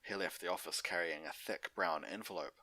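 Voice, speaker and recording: Low, bright-voiced male story narrator. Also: fairly quick, no echo. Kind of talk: unedited fan fiction reading.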